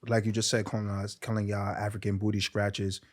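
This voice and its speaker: A man's voice: fairly low pitch, completely flat delivery, fast talker. A young man from Brooklyn, a podcast guest, chatting candidly and casually with slang and fillers. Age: young adult. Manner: laid-back, candid, lots of fillers and slang. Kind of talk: casual chat.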